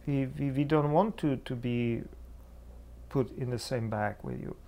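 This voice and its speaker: Slow, halting Czech man, creaky voice. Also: mid-range voice, dark tone, a little hiss or hum. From Czech Republic. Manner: halting, searching for words. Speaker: preacher, interview guest. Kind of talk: reflective theological discussion.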